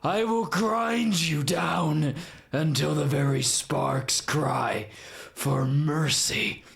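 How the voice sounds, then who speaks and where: Proud, vengeful angel warrior for a game: very slow, grandiose delivery, gravelly edge, light reverb. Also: male voice, a little high, a bit bright, pitched above the performer's own voice, exaggerated voice. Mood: furious. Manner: grandiose, archaic, slow dramatic declamation. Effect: added reverb and delay.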